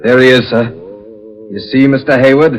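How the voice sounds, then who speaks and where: An actor playing an anxious young deckhand in 1940s radio horror, earnest and respectful. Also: male voice, medium pitch, steady tone, moderate pace, lightly acted. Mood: hushed unease. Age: young adult. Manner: deferential, earnest.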